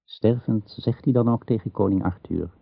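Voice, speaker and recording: A man's voice: lowish voice, normal speed, vocal fry, breathy edge, dry recording. A man narrating a scripted radio drama as its storyteller. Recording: narrow, phone-like old radio recording.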